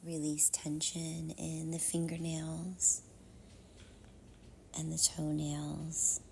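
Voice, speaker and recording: A woman leading a guided meditation in a soft, half-whispered, soothing voice, with measured phrases and long pauses. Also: low-pitched, completely flat delivery, gravelly, a little hiss or hum.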